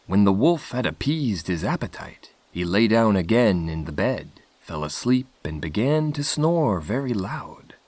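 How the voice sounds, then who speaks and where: A male audiobook narrator reads a fairy tale slowly and deliberately. His lowish voice moves expressively through a very lively range. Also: dry recording.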